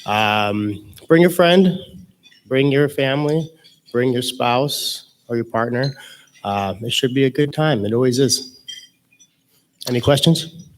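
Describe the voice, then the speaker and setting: A man's voice: mid-range voice, slow, long pauses, slight background noise. A man making a cheerful club announcement about a social card night. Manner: cheerful, chatty. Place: meeting hall.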